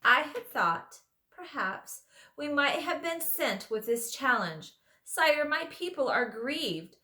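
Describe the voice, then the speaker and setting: A shrill little mouse knight, proud and courtly, his voice pitched high and carrying force, as a woman puts him on. Every phrase is formal and full of honour. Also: big pitch swings, takes it slowly, sharp tone, full character voice. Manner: formal, gallant.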